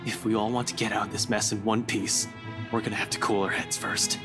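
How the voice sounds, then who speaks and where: An actor playing a level-headed young man in a visual novel game, speaking in a measured way over game music. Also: on the low side, level tone, close to an everyday voice. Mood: calm, firm.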